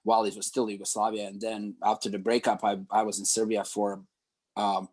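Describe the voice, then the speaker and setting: Croatian-accented male guest, low voice, precise and dryly funny. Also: little pitch movement, brisk, darkish tone. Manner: precise, correcting details, dry humor. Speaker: guest, club founder. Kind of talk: casual interview.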